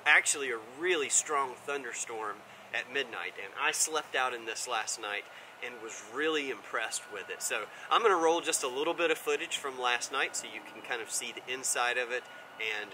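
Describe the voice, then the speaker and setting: A man's voice: mid-range voice, background noise. An American man around forty giving a casual gear review in vlog style. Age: 30s to 40s.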